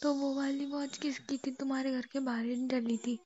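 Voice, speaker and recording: A woman's voice: sits high, level tone, moderate pace, warm tone. A young woman in casual, insistent and questioning talk with her boyfriend on a phone call. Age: young adult. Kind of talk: casual phone chat between a couple. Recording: dull phone call recording with noticeable noise.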